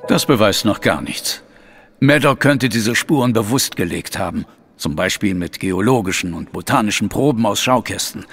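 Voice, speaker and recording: An actor playing a sceptical, grumbling police inspector in an audio drama, with a gravelly, husky voice and a blunt, doubting manner. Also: male voice, lowish voice, lively intonation, moderate pace, a bit bright, faint music behind. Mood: dismissive.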